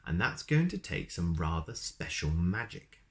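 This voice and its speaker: A man voicing a wise old storybook wizard, brisk and pompous, given to grand exclamations. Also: sits low, sing-song, exaggerated voice. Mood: commanding.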